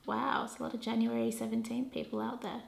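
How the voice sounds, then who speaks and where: Young woman, vlog co-host, teasing and quick, mid-pitched with vocal fry, recorded in an echoey room. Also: level tone, a crowd in the background. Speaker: vlogger co-host. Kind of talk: casual couple Q&A chat. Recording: roomy echo.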